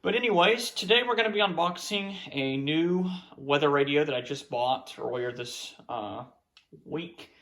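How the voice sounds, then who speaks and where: Gravelly-voiced man casually narrating an unboxing. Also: fairly high pitch, fairly bright tone. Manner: halting, informal, thinking aloud as he handles things. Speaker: hobbyist collector presenting an unboxing. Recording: faint background noise, clicks of handling.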